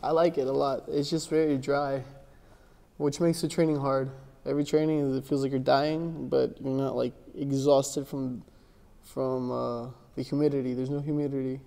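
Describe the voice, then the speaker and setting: A young man born in Miami and raised in Ecuador and Texas, answering interview questions in a soft, relaxed way, with lots of restarts and "like". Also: medium pitch, moderate pace, creaky. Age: young adult. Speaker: interview guest, jiu-jitsu athlete. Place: gym with large windows, indoors. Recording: long reverb from a large room.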